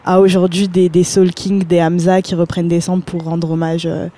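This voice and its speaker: A woman's voice: on the low side, moderate pace. A woman in her twenties from the Paris area, telling stories as a podcast guest with lots of fillers and slang. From France, born in Paris, raised in Seine-Saint-Denis. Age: 20s. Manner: lively storytelling with fillers and slang. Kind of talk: casual interview chat.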